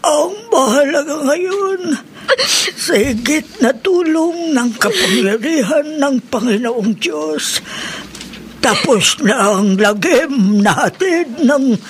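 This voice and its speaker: Older wise lady, slow emphatic radio drama delivery, bit of noise behind. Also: high-pitched, expressive. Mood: consoling, tearful. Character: older woman, wise spiritual guide, earnest and consoling. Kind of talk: horror radio drama acting.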